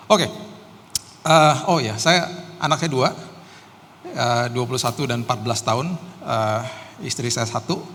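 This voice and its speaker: A man's voice: mid-range voice, a bit bright. A middle-aged man preaches as a guest pastor in a conversational, storytelling way with self-deprecating humour. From Jakarta, Indonesia. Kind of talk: sermon with casual personal storytelling. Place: church hall during live service. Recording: reverberant hall, faint background noise.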